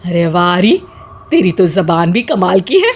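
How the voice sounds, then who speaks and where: A woman's voice: fairly low pitch, very animated, measured pace, husky, heavily acted. A woman playing a greedy, stern and suspicious older mother-in-law in a comic story, with theatrical scolding and exclamations. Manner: scolding, exaggerated exclamations, sarcastic. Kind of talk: Hindi comic story acting.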